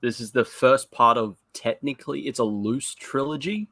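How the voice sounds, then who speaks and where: A man's voice: mid-range voice, moderate pace, bright, forceful tone, no room sound, studio quality. A man casually explaining things as a panelist on a podcast.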